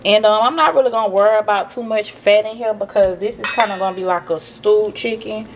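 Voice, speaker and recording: A woman hosting a relaxed cooking tutorial in her home kitchen, chatting to her viewers with plenty of ums as she works. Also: mid-range voice, a little fast, background noise. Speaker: cooking vlogger.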